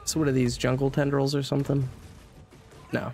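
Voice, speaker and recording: A man's voice: mid-range voice, level tone, fairly quick, a little mellow, soft background music. A man streaming a game, rambling casually to his viewers while he plays, sniffly with a cold. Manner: chatty, rambling, talks to chat. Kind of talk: casual gameplay commentary. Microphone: desk mic.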